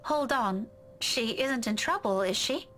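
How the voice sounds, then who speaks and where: An actress with a British accent playing a feisty, caring young woman in a visual novel, direct and expressive. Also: a little high, unhurried, a little hiss or hum. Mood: concerned. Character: feisty, caring young woman teasing her old friend.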